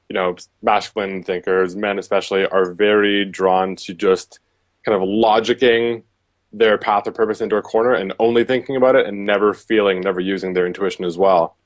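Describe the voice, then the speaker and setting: A man with a lowish voice talks in a relaxed, conversational way, sprinkling in fillers as he answers interview questions as a coach. The sound is dry and close, with no room around it. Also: no echo. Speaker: relationship coach, guest.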